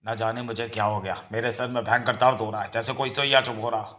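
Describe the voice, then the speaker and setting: A man's voice: mid-range voice, fairly even intonation, fast, sharp tone, natural voice. A man voicing a rich, stubborn old village landlord and scheming father in a comic-book story, with a melodramatic, scolding delivery that stumbles at times. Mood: pained, alarmed. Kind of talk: amateur comic-book dramatization.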